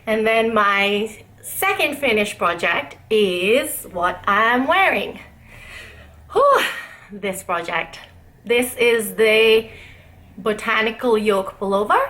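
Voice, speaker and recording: A woman's voice: fairly high pitch, lively intonation, slow, pushed and bright. A woman in her twenties from Nepal, hosting her own knitting video podcast in a casual, giggly, self-deprecating way.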